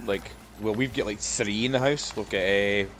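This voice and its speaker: A man's voice: fairly low pitch, moderate pace. A man co-hosting a casual gaming podcast, chatting informally. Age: adult, parent of young children. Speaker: podcast co-host. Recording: steady background noise.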